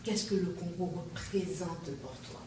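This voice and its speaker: A woman with a Haitian French accent hosts a relaxed interview, her voice on the low side. She chats and rambles, reacting often with little interjections. She is heard from a distant mic in a very echoey room with noise behind her. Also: moderate pace. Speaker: host. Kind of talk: conversational interview.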